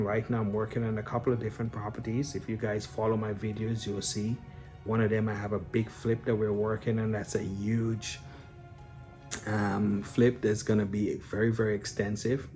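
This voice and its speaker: A man's voice: fairly low pitch, level tone, normal speed, darkish tone, soft background music. A man from Guyana talking casually to his viewers about the businesses he runs, unscripted, with frequent fillers and restarts. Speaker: real estate investor and business owner. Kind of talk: casual explanatory vlog talk.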